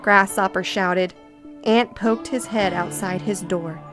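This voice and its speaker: A woman storyteller narrating a children's picture book in an expressive, deliberate way. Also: mid-range voice, soft background music.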